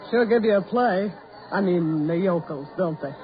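A woman's voice: on the low side, moderate pace, a faint crowd, lightly acted. An actress playing a brassy, scornful gold-digging carnival show woman, slangy and flippant, in 1940s radio drama style. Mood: coy, chummy. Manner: slangy, flippant, hard-boiled. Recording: muffled, noisy 1940s radio recording.

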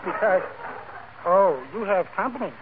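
A man's voice: high-pitched, animated, unhurried, narrow, tinny audio. An actor playing a meek, timid, henpecked little man with a mild, mincing manner in 1940s radio comedy style. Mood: meek, plaintive. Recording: old thin radio recording, heavy noise.

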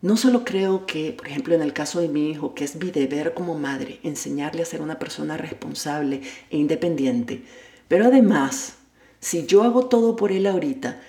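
Low-voiced woman coaching in an echoey room. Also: darkish tone. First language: Spanish. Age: adult with a child at home. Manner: candid, self-deprecating, addresses the listener directly. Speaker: life coach and podcast host. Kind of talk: motivational monologue.

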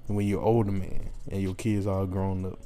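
Man, twenties, lowish voice, podcast co-host, loose profane banter, emphatic repetition. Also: flat delivery, normal speed, mellow. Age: 20s. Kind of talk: casual, profane comedic chat.

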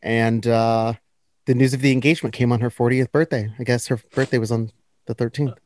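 A man's voice: medium pitch, fairly even intonation, fairly quick, darkish tone, dry recording, clean studio sound. A man casually talking through pop culture gossip, slangy, blunt and opinionated.

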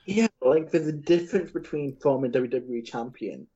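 A podcast co-host with a warm, dark voice, talking casually and often restarting mid-thought. His mic sounds dull and lo-fi, cutting off the top end. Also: mid-range voice, moderate pace. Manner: hesitant, laughs, restarts.

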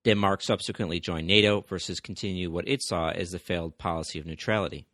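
A man's voice: low voice, fairly even intonation, quick pace, a bit bright, dry recording, clean studio sound. A man hosting a history podcast, reading a scripted narration steadily, with the odd stumble and restart. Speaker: podcast host.

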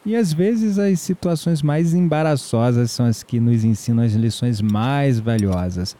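An actor playing an amiable, self-deprecating, introspective man in an audio drama, speaking Brazilian Portuguese in a measured, reflective way. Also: medium pitch, dark tone, close to an everyday voice. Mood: warm, grateful. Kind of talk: audio drama dialogue and inner monologue.

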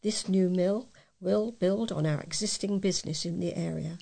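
A woman's voice: lowish voice, moderate pace, gravelly, mellow. A woman volunteer newsreader reading local news aloud in an informal, amateur way.

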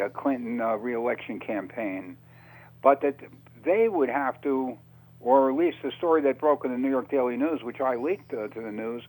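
Male radio guest, mid-pitched, halting and repetitive phrasing, conversational storytelling. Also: low-quality recording. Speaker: interview guest.

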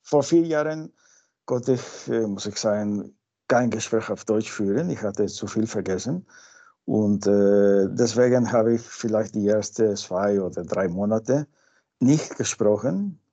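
Slow, halting man with Peruvian Spanish accent. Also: fairly low pitch, dark tone. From Peru. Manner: halting, searching for words. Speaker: interview guest. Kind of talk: informal interview answers in a learned language. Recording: dull lo-fi sound.

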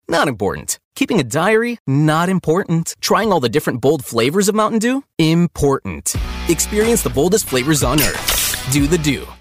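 A man's voice: fairly high pitch, no echo. A man reading a tongue-in-cheek commercial as an announcer, over a music bed. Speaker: advertisement announcer.

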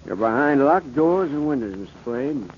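A man's voice: medium pitch, lively intonation, moderate pace, natural voice. An American actor playing a brusque, bossy policeman in 1940s radio drama style, curt, slangy and commanding. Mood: reassuring, gruff. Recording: muffled, hissy old radio recording.

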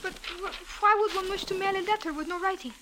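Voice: very high-pitched, quick pace. An actor playing a frightened, respectful young Cairo beggar boy with earnest, formal phrasing in a 1940s radio drama. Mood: puzzled, anxious. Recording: noisy old 1940s radio recording.

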